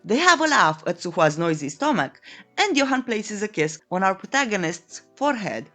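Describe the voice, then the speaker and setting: Animated woman narrator with swooping pitch. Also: moderate pace. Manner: playful, tongue-in-cheek, theatrical storytelling with flowery euphemisms. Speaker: recap narrator. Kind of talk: scripted comedic story recap narration. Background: background music.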